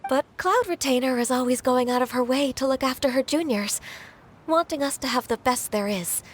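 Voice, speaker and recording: A woman playing a gentle, modest, dutiful young woman in a game, soft-spoken, polite and hesitant. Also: high voice, moderate pace, a little hiss or hum, close to an everyday voice. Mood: earnest.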